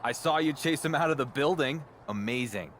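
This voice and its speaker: An actor playing a confident, cocky young wrestling champion in a video game cutscene. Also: male voice, mid-range voice, animated, a little fast, a bit bright, slight background noise, natural voice. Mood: warm teasing.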